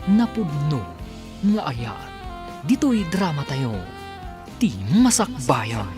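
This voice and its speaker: A man presents a radio drama as its announcer. He reads slowly and theatrically, his medium-pitched voice rising and falling widely over prominent music. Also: sing-song.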